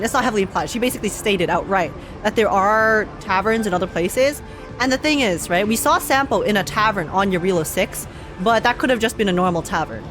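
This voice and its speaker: A young woman streaming a game, reacting and reading aloud in a playful, expressive way. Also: mid-range voice. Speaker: gaming streamer. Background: game music.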